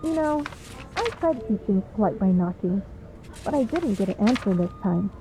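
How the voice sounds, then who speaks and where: A cheeky female supervillain played by a voice actress in a comedy audio drama. Her voice sits at a middle pitch, and she teases with breezy, smug sarcasm, entirely at ease as a troublemaker. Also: animated, moderate pace, a crowd in the background, natural voice, low-quality recording. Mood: smug teasing. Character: cheeky, smug woman supervillain, frequent hospital patient. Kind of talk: comedic audio roleplay acting.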